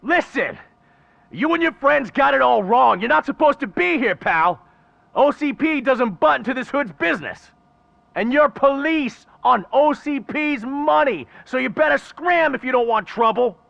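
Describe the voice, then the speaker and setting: A man's voice: very high-pitched, expressive, unhurried, creaky, fairly bright tone, no room sound, dull audio, full character voice. A man playing a foul-mouthed gang thug who curses and threatens while holding a hostage. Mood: threatening. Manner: aggressive, cursing, threatening.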